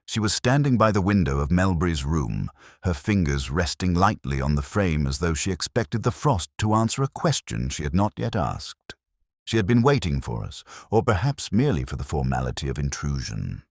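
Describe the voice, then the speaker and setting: A man narrating a scripted first-person detective story in a measured, literary, reflective manner. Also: a bass voice, no room sound, clean studio sound.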